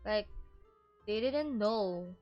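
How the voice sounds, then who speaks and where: A woman's voice: medium pitch, expressive, extremely slow, muffled recording. A woman streamer giving casual, informal playthrough commentary, musing aloud and trailing off as she goes. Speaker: game streamer. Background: game music.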